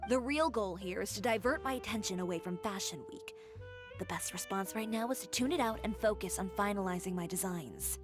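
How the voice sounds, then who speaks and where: An actress playing a sharp-tongued, proud young fashion designer in a video game, sardonic and crisply commanding. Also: female voice, medium pitch, a little fast, natural voice. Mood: brisk, composed. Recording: music behind the voice.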